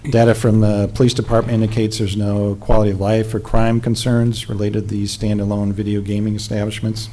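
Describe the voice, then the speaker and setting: A man from the city planning staff, presenting a staff report at a public meeting in a measured, procedural way with frequent fillers. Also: lowish voice, little pitch movement, dark tone. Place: city council chamber.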